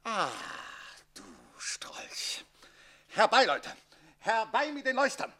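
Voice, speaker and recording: An actor playing a jealous, pompous aristocratic husband in a theatrical radio comedy. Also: male voice, very high voice, very animated, slow pace, sharp tone, full character voice. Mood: outraged. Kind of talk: German radio play comedy acting.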